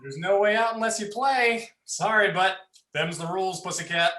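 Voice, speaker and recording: A man's voice: high voice, normal speed, echoey room, noisy background, close to an everyday voice. A man playing a leering, sadistic twin brother in a horror play, mocking and taunting. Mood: taunting menace. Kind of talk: dramatic livestream script reading.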